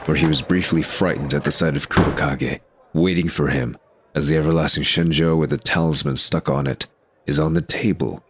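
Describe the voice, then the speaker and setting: A man's voice: extremely low pitch, lively intonation, fairly quick, background noise. A man giving scripted, present-tense narration as the narrator of an audio drama.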